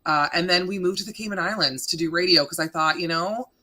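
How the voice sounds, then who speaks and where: A woman in her thirties from Canada with a deep voice, a radio morning host chatting casually in an interview, laughing often and filling with ums. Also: fast talker, pushed and bright. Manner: chatty, laughing, self-deprecating, many fillers.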